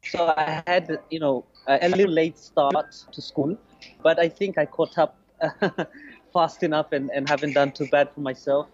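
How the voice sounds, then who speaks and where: A man in his forties from Bangladesh, a podcast guest telling his story in a relaxed, hesitant way. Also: a little high, unhurried. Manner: hesitant, restarts, many "you know"s. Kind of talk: casual interview answers. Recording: lo-fi muffled sound.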